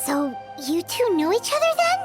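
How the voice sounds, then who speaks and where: A woman voicing a tiny, excitable childlike fairy companion with a squeaky voice in an anime game. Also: normal speed, music in the background. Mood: concerned. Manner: speaks of herself in third person, chirpy.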